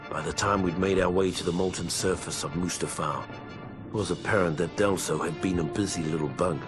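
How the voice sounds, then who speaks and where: An actor playing a weathered veteran clone trooper, narrating his war memoirs for a video game in a measured, grave, wry soldierly manner. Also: deep voice, steady tone, close to an everyday voice. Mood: wry, dry. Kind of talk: scripted in-character journal narration for a game. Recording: music behind the voice.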